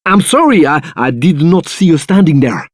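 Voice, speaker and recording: A man's voice: a little high, sing-song, moderate pace, no echo, clean studio sound. A man playing a nosy, easily shocked barman in a video game, with a theatrical, gossip-hungry manner. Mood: flustered. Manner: theatrical, gasping, eager for gossip. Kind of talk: video game dialogue acting.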